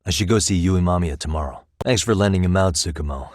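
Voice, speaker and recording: A man in his thirties voicing a calm, analytical private detective in a video game, speaking in a measured, conversational way. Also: low-pitched, no echo, studio quality, close to an everyday voice. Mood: pensive, focused. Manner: measured, methodical, reasoning aloud. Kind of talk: English video game voice acting, conversational dialogue.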